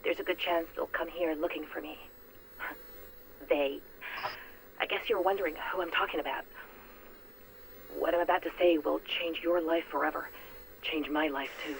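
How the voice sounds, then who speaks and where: An actress playing a guilt-ridden, distraught woman in a video game, making a halting, emotional confession on a recorded message. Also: lowish voice, a bit bright, a little hiss or hum, low-quality recording. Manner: halting, weighty, emotional delivery. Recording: recorded message under music.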